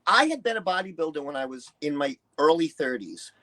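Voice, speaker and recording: Blunt, higher-pitched New man in his sixties. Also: big pitch swings, fairly quick, pushed and bright. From New York City, United States. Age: early 60s. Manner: blunt, profane, emphatic, acts out quoted dialogue. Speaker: interview guest, retired musician and bodybuilder. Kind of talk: candid personal storytelling in an interview.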